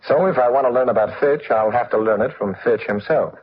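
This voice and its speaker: A man acting a suave, unflappable gentleman detective with dry, flippant delivery in 1940s radio style. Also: mid-range voice, a little fast, lightly acted. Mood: wry, cool. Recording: muffled old radio recording, faint hiss.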